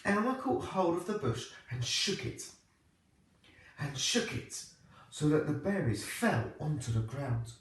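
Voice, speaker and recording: Echoey, animated man reading a storybook. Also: fairly high pitch. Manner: expressive, deliberate phrasing for young children. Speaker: primary school teacher reading aloud. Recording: echoey room, distant microphone.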